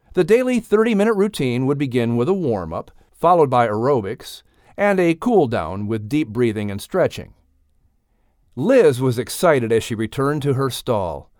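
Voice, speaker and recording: A man narrating a children's story in an expressive style as an audiobook reader. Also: mid-range voice, dry recording, studio quality.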